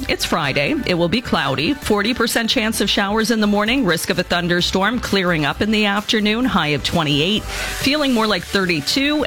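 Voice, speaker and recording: A woman reading local news and weather on the radio in a steady, measured way from a studio microphone. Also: mid-range voice. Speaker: newsreader.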